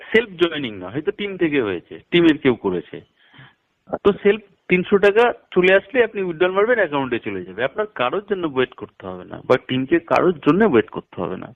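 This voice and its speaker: Male promoter, animated mid-range voice, instructional and emphatic, dull lo-fi phone-call sound. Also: on the high side, fast talker. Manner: instructional, step-by-step, emphatic with figures. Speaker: network marketing promoter. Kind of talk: explaining a business plan over a phone call.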